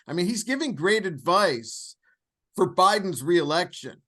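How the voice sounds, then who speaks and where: A man's voice: high-pitched, big pitch swings, unhurried, bright, forceful tone. A man co-hosting a podcast in casual political discussion. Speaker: podcast co-host.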